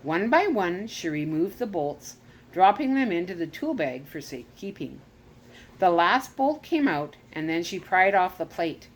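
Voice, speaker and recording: A woman reading a children's chapter book aloud as a storyteller, expressive and animated, now and then correcting herself. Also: lowish voice, measured pace. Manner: expressive read-aloud with lively rises on exclamations; occasional self-corrections.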